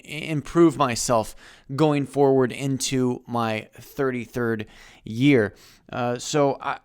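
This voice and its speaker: A man in his thirties speaks straight to his viewers, stopping and restarting often and leaning on fillers. His voice is smooth and clear, and the sound is dry and close, with little room around it. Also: mid-range voice, no echo. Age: 30s. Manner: halting, many fillers and restarts. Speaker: YouTuber. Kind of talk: personal vlog monologue.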